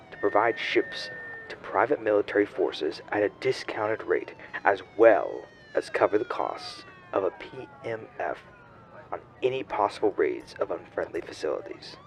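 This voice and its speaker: Deep, solemn statesman played by a man, noisy backdrop. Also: a bit bright. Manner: measured, oratorical, pompous. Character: solemn, resolute male corporate head of state. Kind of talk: public address in audio drama.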